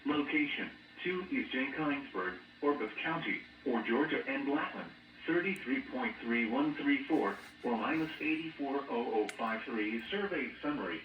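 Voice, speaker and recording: A muffled American male weather radio voice, heard at a distance through a speaker in an echoey room with clear noise. It reads a scripted bulletin mechanically, like an automated announcer. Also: medium pitch, moderate pace, hardly any pauses. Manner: flat, mechanical synthetic delivery, reading out codes and figures. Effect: weather radio broadcast.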